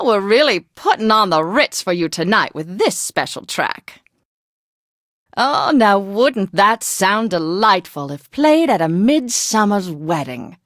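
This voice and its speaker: A woman's voice: mid-range voice, a bit bright, no echo, clean studio sound, full character voice. An actress playing a refined, gushing woman who hosts classical radio with prim, old-fashioned theatrical diction. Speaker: radio host. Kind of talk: scripted classical radio DJ patter.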